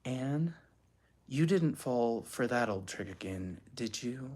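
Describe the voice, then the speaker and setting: A woman voicing a weary, long-suffering mother in a children's storybook, calm and measured. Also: extremely low pitch, full character voice. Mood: calm reproach. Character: weary, sighing storybook mother fed up with quarreling.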